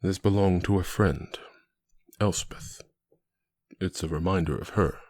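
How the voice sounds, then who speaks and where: A performer voicing a giant, solemn male cat-warrior in an audio drama, low and hushed, speaking gravely in few words. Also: steady tone. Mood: subdued, sorrowful. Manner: slow, terse, grave. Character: giant gentle solemn cat-man warrior, protective and sorrowful.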